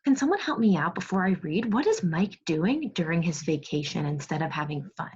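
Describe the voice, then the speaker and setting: Woman primary teacher, slightly husky, expressive read-aloud for kids, muffled video-class sound. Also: on the low side, brisk. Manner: expressive storybook reading, praising and prompting pupils. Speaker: second grade teacher. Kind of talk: read-aloud lesson to young children. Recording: muffled, lo-fi online class audio.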